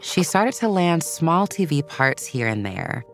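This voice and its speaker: A woman's voice: sits low, big pitch swings, measured pace. A woman reading a scripted children's story as a narrator. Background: music.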